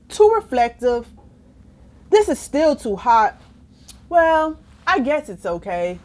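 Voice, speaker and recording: A woman's voice: sits high, a little mellow, heavily acted. A woman voicing a stubborn, dramatic, cheeky storybook pigeon with exaggerated, whiny delivery. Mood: complaining, fussy, then delighted, playful. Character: stubborn, dramatic, cheeky male pigeon who hates baths. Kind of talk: children's storybook character reading.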